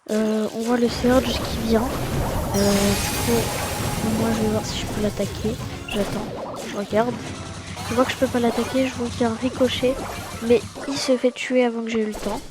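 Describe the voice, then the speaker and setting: A woman's voice: a little high, little pitch movement, normal speed. Stuffy-voiced woman narrating gameplay. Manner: casual, hesitant, many fillers and restarts. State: slightly stuffy from a cold. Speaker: gaming channel host. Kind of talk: gameplay voice-over commentary. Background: loud game music.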